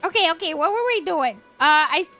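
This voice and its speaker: A young woman streaming live, chatting casually with a hoarse voice and telling an animated story full of laughs. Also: extremely high pitch, fairly quick, soft background music. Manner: animated storytelling, laughs often, acts out quotes.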